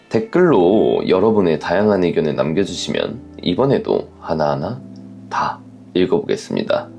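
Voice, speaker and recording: A man hosting a relationship advice show, delivering scripted commentary in a measured, reflective and polite way. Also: low-pitched, vocal fry, darkish tone. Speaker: host of a relationship story channel.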